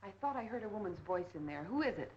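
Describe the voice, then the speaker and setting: An actress playing a police captain's spirited, reproachful sweetheart in a 1930s film, challenging him with pointed questions. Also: female voice, medium pitch, very animated, fast talker, lightly acted. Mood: suspicious, dismayed. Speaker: actor. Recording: dull 1930s film soundtrack.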